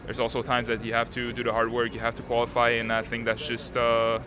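An eighteen-year-old man from Canada, a native French speaker, answering interview questions outdoors in a calm, composed way with many fillers. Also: mid-range voice, fast talker. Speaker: tennis player, interviewee. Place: outdoors at a tennis stadium. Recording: crowd noise behind.

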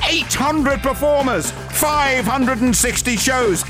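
A woman's voice: sits high, slow pace. A woman reading a scripted radio promo as an announcer. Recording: music bed under produced promo. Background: music.

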